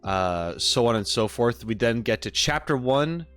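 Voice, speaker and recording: A man with a mid-range voice chats casually as a live streamer. He rambles in an easygoing way, thinking out loud as he goes. Music plays behind him. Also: mid-range voice, animated, unhurried, clean tone. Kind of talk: casual livestream chat. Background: background music.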